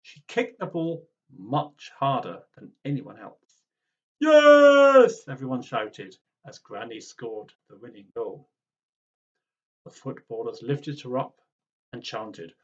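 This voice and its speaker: A man reading a children's storybook aloud in an expressive, dramatic way. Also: mid-range voice, slow pace, highs cut off. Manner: animated, dramatic.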